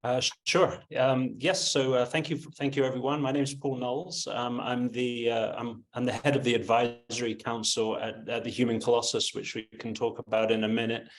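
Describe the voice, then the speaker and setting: A hesitant man speaks as a webinar guest. His voice stays flat and even in pitch. He often stammers as he starts a sentence. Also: a little fast, few pauses, low-quality recording. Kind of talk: conversational self-introduction.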